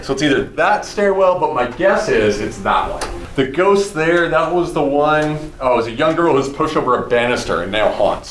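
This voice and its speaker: Man instructing students in an echoey hall. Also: fairly high pitch. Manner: relaxed, explanatory, dryly joking. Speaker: psychology instructor. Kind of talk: giving instructions to a class. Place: old university hallway, indoors. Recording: echoey hallway with noise.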